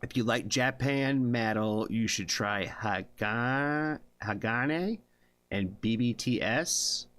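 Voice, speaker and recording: A man's voice: mid-range voice, slow pace, a bit bright, clean tone, no echo. A man hosting a music reaction livestream, talking loosely and swearing freely, with lots of fillers and a habit of asking "you know what I mean?". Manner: laid-back, rambling with many fillers. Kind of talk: casual livestream chat.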